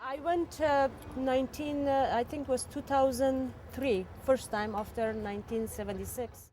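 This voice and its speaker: Halting Afghan-born woman with music behind. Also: high voice, steady tone, darkish tone. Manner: halting, restarts phrases, emphatic. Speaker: interviewee. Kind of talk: personal testimony in an interview.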